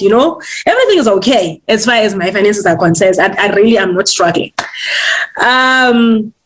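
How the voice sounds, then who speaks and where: A woman's voice: mid-range voice, low-quality recording. Candid woman author. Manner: candid, halting, with frequent ums and restarts. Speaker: author and guest. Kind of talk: personal storytelling in an interview.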